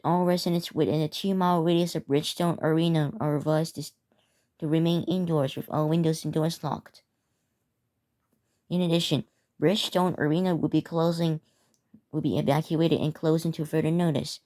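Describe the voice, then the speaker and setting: A woman reading a scripted emergency announcement in a halting way, stumbling and repeating phrases. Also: deep voice, fairly even intonation, mellow. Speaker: narrator of a hobby emergency alert scenario.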